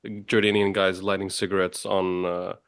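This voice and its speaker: Guy hosting a podcast, smooth lowish voice, dry close sound, lots of uhs. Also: level tone, normal speed, no room sound. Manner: hesitant, many uhs and restarts. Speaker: podcast host. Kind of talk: casual podcast chat.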